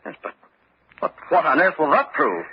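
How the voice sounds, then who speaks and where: A man's voice: a little high, huge pitch swings, moderate pace, gravelly, exaggerated voice. An actor playing an indignant, formal widower who insists on his rights, in a theatrical vintage radio style. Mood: outraged. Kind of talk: vintage radio mystery acting. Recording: dull, hissy old radio recording.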